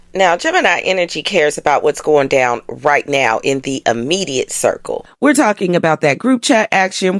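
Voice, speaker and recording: A woman's voice: on the low side, a bit bright, no echo. A woman hosting an astrology show, chatting casually to her audience in a sassy, playful, slangy way. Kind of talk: casual chatty explainer to camera.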